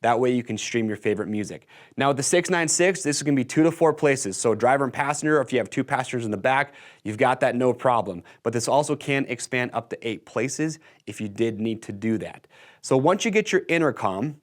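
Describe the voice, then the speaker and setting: A man's voice: mid-range voice, animated, fairly quick. An American man in his thirties giving an enthusiastic, casual product walkthrough to camera in a conversational sales style. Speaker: product video presenter. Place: indoor workshop-style studio set.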